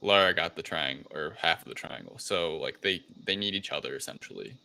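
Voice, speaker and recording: A man hosting a casual film discussion, recapping the plot in a loose, halting way with plenty of filler phrasing. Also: rumbling low, lively intonation, vocal fry, pushed and bright. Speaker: podcast host recapping a film.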